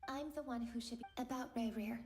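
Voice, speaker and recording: A woman voicing a gentle, remorseful young female scholar in a video game, earnest, polite and hesitant. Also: fairly high pitch, fairly quick, roomy sound, natural voice. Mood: earnest, sorrowful.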